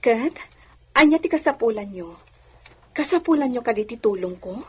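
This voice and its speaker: A woman acting a gentle, mistreated young lady in a radio drama, plaintive and sighing. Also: fairly high pitch, expressive, moderate pace, slightly rough. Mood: kind, curious.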